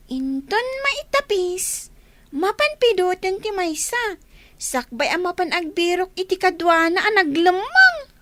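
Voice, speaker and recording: An eager little child for an Ilocano radio drama. The voice is extremely high and bright, slow and singsong, with an Ilocano accent and a dry sound. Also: female voice, dry recording, heavily acted. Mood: complaining, chatty. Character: eager, dutiful young child of the family.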